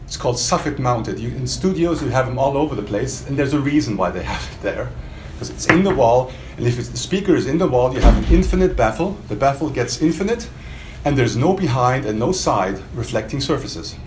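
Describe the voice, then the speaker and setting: The recording is echoey, with faint background noise, as in a hotel demo room. A man with a mid-pitched voice presents a product to a small audience. He speaks spontaneously and emphatically, often putting questions to his listeners. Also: animated, a little fast. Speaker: product demonstrator for a loudspeaker maker.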